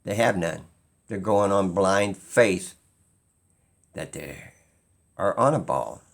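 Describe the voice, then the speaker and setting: A man with a fairly low, gravelly voice full of fry speaks at a very unhurried pace. He delivers an unscripted opinion monologue in an insistent, rambling way, often repeating himself to drive a point home. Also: animated. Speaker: video creator.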